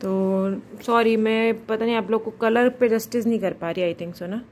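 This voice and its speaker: Thirties woman doing a chatty phone vlog, slightly high voice, bit of noise behind. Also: fairly even intonation, unhurried, a little mellow. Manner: chatty, mixes English words into Hindi. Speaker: vlogger. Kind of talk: casual vlog narration. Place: outdoors by a garden, then at home, then in a busy shop. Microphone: phone held at arm's length.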